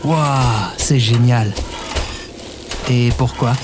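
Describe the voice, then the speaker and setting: Casting: man as curious, enthusiastic adventurer for audio drama, average-pitched voice, informal and friendly. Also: fairly even intonation, very slow, noisy background. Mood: amazed, friendly. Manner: casual, enthusiastic, questioning. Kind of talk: audio fiction acting.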